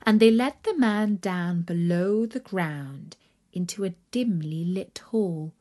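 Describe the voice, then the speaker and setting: A woman narrating a children's fairy tale in an expressive, deliberate storytelling manner. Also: lowish voice.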